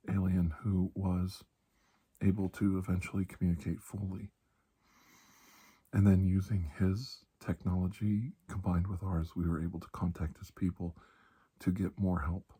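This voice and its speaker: A man acting as a calm doctor in an ASMR roleplay, with a very breathy, husky voice that sits low. He soothes his patient in slow, halting phrases, often dropping close to a whisper. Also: heavily acted. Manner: measured, halting, soothing delivery. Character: calm, reassuring male doctor treating a patient. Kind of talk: ASMR roleplay, hushed storytelling.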